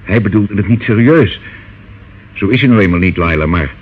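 Low, smooth-talking devious uncle played by an actor, tinny recording. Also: male voice, animated, normal speed, close to an everyday voice. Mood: evasive, soothing. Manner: glib, reassuring, condescending. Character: smooth, devious older uncle, soothing and patronising. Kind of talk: radio drama acting. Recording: phone-like, noisy old radio play recording.

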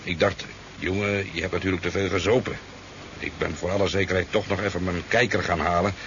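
A man's voice: low voice, fairly even intonation, brisk, fairly bright tone. An actor playing a talkative, easygoing sport fisherman in a 1970s radio drama, telling his tale in a hesitant, rambling way. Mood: animated storytelling. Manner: hesitant, rambling storytelling with stammers. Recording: dull, noisy 1970s radio recording.

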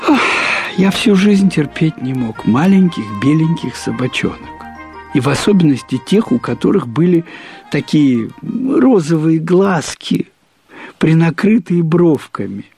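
A man reads a literary story aloud in the first person, expressively, with deliberate phrasing, drawn-out words and pauses. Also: on the high side, expressive, creaky, warm tone, faint music behind. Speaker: narrator reading a short story aloud.

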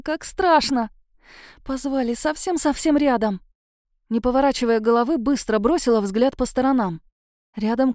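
A woman's voice: on the high side, dark tone, no room sound, clean studio sound. A woman reading a scripted first-person suspense story as an audiobook narrator.

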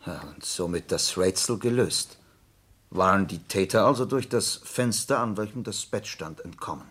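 A low, husky, inquisitive companion. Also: male voice, moderate pace, fairly bright tone. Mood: curious, reasoning. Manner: inquisitive, hesitant, attentive. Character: attentive, puzzled male companion questioning a detective. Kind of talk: radio drama dialogue.

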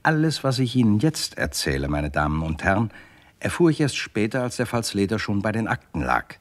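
Deep-voiced, wry crime narrator. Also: male voice, big pitch swings, a little fast. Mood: musing, wry. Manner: wry, digressive, addressing listeners. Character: wry, digressive storyteller, a writer recounting a murder case. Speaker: first-person narrator. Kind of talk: literary scripted narration in radio crime drama.

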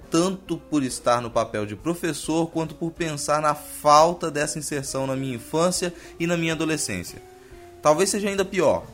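A Brazilian man narrates as a podcast host in a fairly high voice. His delivery is scripted but informal, playful and wry. Soft music runs underneath. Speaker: teacher.

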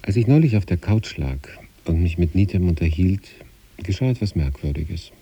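Male narrator for literary prose, very dark and low timbre, measured, contemplative read. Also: expressive, slightly rough, muffled recording. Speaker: narrator reading a literary story. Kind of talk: scripted literary narration in the first person, as letters.

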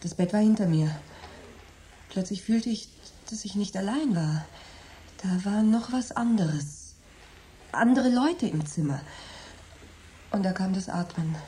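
A woman's voice: mid-range voice, mellow, a little hiss or hum, close to an everyday voice. An actress playing a tough, matter-of-fact woman in a radio drama. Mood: shaken. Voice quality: brisk, matter-of-fact delivery. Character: tough, sharp-tongued woman named Margaret. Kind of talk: radio play acting.

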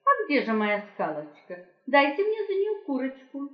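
A woman's voice: high voice, very animated, normal speed, pitched above the performer's own voice. A woman voicing a sly she-fox in a children's folk tale, sing-song, coaxing and demanding. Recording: muffled home cassette with roomy echo.

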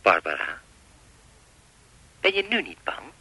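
A menacing anonymous caller in a radio drama. His voice is strikingly sharp and forward, recorded very dry, with animated intonation. He rambles in an insinuating, falsely polite way, chatty and lewd as he taunts. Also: mid-range voice, slow, husky, dry recording, exaggerated voice, poor audio. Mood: quietly menacing. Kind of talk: radio play acting.

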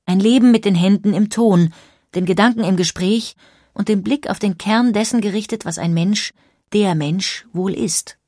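A woman narrator reading a scripted documentary portrait with measured, precise, literary phrasing. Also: medium pitch, dark tone, no echo, clean studio sound.

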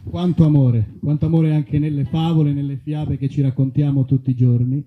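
A man's voice: fairly high pitch, fairly even intonation, brisk, narrow, tinny audio. A man presenting a live comic show, linking the segments as host.

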